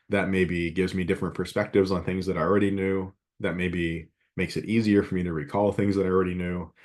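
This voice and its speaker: Low-pitched male lecturer, easygoing and digressive, mildly stuffy from a cold. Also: a little mellow. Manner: rambling, conversational, many fillers. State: slight head cold, a bit sniffly and scratchy. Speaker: math instructor. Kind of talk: classroom lecture.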